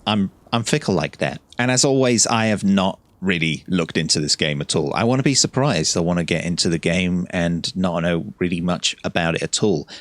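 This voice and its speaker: A low-voiced man hosts a casual gameplay video, recorded close and dry. He gushes over what he plays, easily delighted, and drops muttered asides. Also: moderate pace, hardly any pauses, no room sound. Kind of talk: casual let's play commentary.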